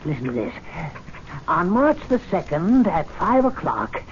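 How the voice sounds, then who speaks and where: Excitable, fussy radio-drama servant. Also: male voice, sits high, measured pace. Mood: scheming, excited. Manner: muttering to himself, theatrical outbursts. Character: indignant, fussy, excitable club servant scheming a prank. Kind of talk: old-time radio drama acting. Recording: muffled, noisy old radio drama recording.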